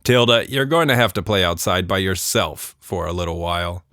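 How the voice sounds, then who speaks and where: A man's voice: sits low, animated, normal speed, pushed and bright, dry recording, studio quality, voice pushed down. A man voicing a busy, unsmiling, weary dad in a children's story. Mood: flat, weary.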